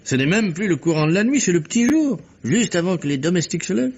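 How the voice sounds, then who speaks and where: An actor playing a courteous, analytical gentleman detective in a vintage French radio mystery, with precise, theatrical delivery. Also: high voice, very animated, fast talker, full character voice. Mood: animated, analytical. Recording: muffled old radio drama recording.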